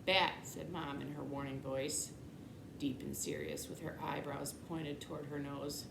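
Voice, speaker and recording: A woman reading a children's storybook aloud in an expressive narrating style. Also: low-pitched, unhurried. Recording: echoey room, clear background noise.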